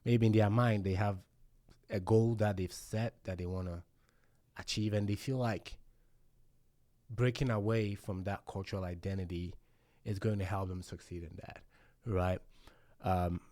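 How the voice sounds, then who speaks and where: A man's voice: on the low side, fairly even intonation, a little slow, dark tone, dry recording. A man hosting a casual podcast conversation, reflective and thinking aloud with many fillers. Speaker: podcast host.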